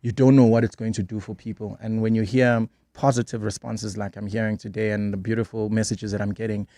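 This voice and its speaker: A man's voice: fairly low pitch, little pitch movement, a little fast, dark tone, no echo, studio quality. South African man in his late thirties, reflective. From South Africa, Durban. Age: 30s. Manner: reflective, restarts often, laughs easily. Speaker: guest, gospel singer and pastor. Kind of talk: radio studio interview. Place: radio studio.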